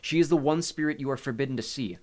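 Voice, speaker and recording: Audiobook casting: protective spirit of a girl, played by a man, grave, cautioning, higher-pitched. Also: fast talker, darkish tone, lightly acted. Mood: warning. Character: solemn, protective ghost of a dark-haired huntress girl.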